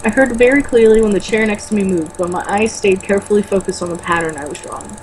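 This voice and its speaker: A woman's voice: medium pitch, fast, a little mellow, slight background noise, low-quality recording. A woman reading a novel aloud as an amateur, tripping over words now and then and breaking off for blunt, mocking, casual commentary. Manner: stumbles over words when reading, blunt and sweary in asides.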